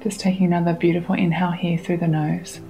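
A woman with a lowish voice guides a meditation slowly and soothingly. Her delivery is very even, with long pauses between gentle instructions, and music plays clearly behind her. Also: rough recording. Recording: music under the voice.

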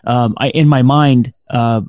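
A man's voice: medium pitch, fairly even intonation, unhurried, darkish tone, dry recording, studio quality. An American man hosting a podcast interview. He rambles a little, with lots of fillers and restarts. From United States. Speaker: podcast host. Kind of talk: casual interview.

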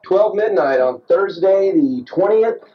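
Man, early 30s, tipsy late-night rambler, husky tone, muffled tape from off-mic. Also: high voice, expressive, takes it slowly, distant mic. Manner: rambling, self-deprecating, restless. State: tipsy after beers. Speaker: diarist recording himself. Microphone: answering machine recorder.

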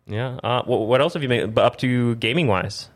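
A man's voice: medium pitch, moderate pace. A man hosting a casual gaming talk show, reading news and plugs in a rambling way and often stumbling over words and correcting himself. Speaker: podcast host. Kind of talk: casual video game talk show with plugs and sponsor reads.

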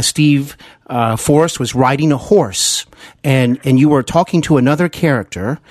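An earnest male podcast host conducts an interview with an admiring, effusive manner. His pitch moves in lively swoops, and the recording is dry with little room sound. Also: dry recording. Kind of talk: celebrity interview.

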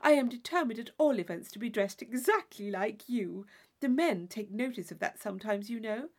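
A woman's voice: on the high side, animated, normal speed, darkish tone. A woman voicing an affected, gushing young society lady in an audiobook of a classic novel, with theatrical emphasis. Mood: affected, emphatic.

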